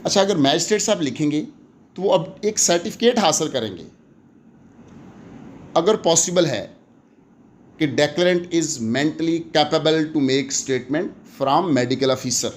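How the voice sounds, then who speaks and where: A man with a Pakistani accent lecturing in an explanatory way, deliberate and emphatic, switching between languages as he teaches. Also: fairly high pitch, animated, slight background noise. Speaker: law lecturer.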